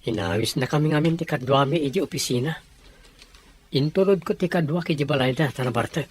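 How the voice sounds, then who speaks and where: A man's voice: on the high side, brisk, slight background noise, lightly acted. A man with an Ilocano accent playing a loving young fiancé who talks in an easygoing, tender way.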